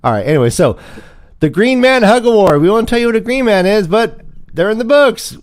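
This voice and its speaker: A man with a fairly high, very animated voice hosts a casual sports livestream. He banters and announces with playful, theatrical emphasis, his pitch swinging widely. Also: brisk. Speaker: livestream show host.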